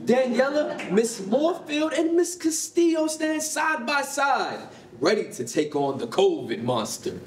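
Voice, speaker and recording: An actor playing an exuberant storybook narrator who announces superhero scenes with theatrical flourishes in a staged reading. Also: male voice, very high voice, sing-song, a little slow, exaggerated voice. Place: concrete rehearsal hall. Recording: distant roomy stage recording, clear noise.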